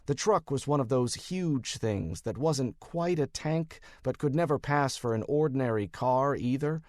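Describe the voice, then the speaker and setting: American man, audiobook narration, wry detective storytelling, very lively pitch, dry studio sound. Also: a little slow, dry recording. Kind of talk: first-person scripted narration, wry detective fiction.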